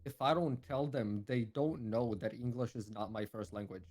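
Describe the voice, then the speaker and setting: A man's voice: medium pitch, normal speed, a little mellow. Man, English, laid-back, joking, podcast co-host. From Israel. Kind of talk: casual podcast chat.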